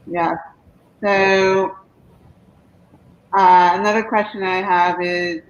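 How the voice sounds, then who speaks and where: Woman, medium pitch, live Q&A host, conversational, affirming, hesitant pauses, muffled lo-fi audio, background noise. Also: little pitch movement. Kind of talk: conversational interviewing, reading viewer comments. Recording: dull lo-fi stream with background noise.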